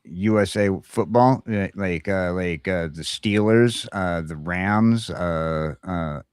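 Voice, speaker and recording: A very low-voiced American man chats casually as a talk show co-host. His delivery is halting, opinionated and rambling. The sound is dry, with very little room in it. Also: a little mellow, clear, resonant tone, no room sound. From United States. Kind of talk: casual morning podcast chat.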